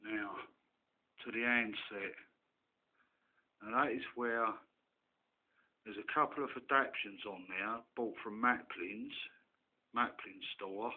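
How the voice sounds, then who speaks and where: A man giving an informal show-and-tell demonstration, explaining haltingly piece by piece and often checking in with "right". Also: lowish voice. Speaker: hobbyist presenter. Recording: muffled, phone-like narrow-band camera audio.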